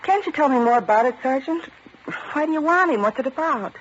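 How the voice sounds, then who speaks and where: A woman's voice: high voice, animated, a little fast. A woman with an American accent playing a guarded, lovestruck wife under police questioning, in earnest, melodramatic old radio drama style. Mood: anxious, devoted. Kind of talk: 1940s-50s radio drama acting. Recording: dull, faintly noisy vintage radio recording.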